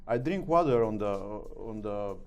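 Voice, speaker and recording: A middle-aged man with a Romanian accent, speaking broken English as an interview guest, halting with many fillers. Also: lowish voice, expressive, a little mellow, clean tone. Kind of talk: interview answers.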